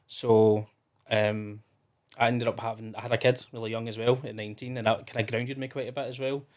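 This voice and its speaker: A man's voice: mid-range voice, flat delivery, brisk. A Scottish man in his thirties, a podcast guest in casual, rambling conversation with many restarts. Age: 30s. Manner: chatty and rambling, with frequent restarts and fillers. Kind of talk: casual interview chat.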